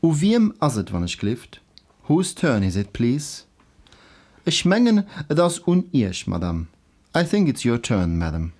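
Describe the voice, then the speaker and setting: A man presents a scripted language lesson in a mid-range voice with very lively intonation. He is didactic, setting out examples slowly and deliberately for learners. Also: mid-range voice. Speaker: language teacher.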